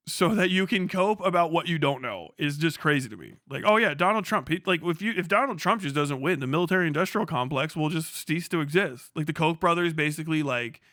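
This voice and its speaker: Male streamer, slightly high-pitched, bright tense tone, sarcastic, sweary, casual ranting. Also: no echo, studio quality. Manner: profane, sarcastic, acts out mock dialogues. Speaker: livestream host. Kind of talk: casual livestream political rant, reading chat.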